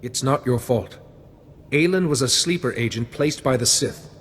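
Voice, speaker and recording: An actor playing a calm, resolute Jedi mediator in a video game, measured and formal. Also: male voice, mid-range voice, a little hiss or hum, lightly acted. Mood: reassuring. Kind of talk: video game cutscene acting.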